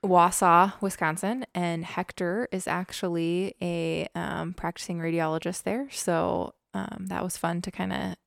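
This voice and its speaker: A woman doctor co-hosting a medical podcast, with a lowish voice and a gravelly fry. She chats in a friendly way with quick asides, recorded dry and close. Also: little pitch movement, dry recording. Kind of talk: casual podcast chat. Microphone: podcast microphone.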